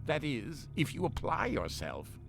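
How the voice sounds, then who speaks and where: An actor playing a pompous, sarcastic artificial intelligence ship companion for a game voice pack, full of condescending disdain. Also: male voice, on the high side, huge pitch swings, measured pace, gravelly, a bit bright, soft background music, full character voice. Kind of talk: scripted game voice-pack acting.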